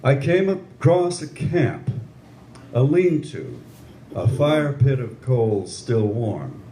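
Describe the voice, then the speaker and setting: An older man reading his poetry aloud and introducing it informally, with a measured, deliberate delivery. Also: medium pitch, sing-song, dark tone. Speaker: amateur poet. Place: live reading in a room. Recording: roomy, echoing live room with faint noise.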